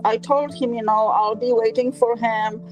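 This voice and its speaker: Sixties woman with a Polish accent, somewhat high voice, halting interview storytelling, faint music beneath. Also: fairly even intonation, poor audio. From Poland. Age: 60s. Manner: halting, with frequent fillers.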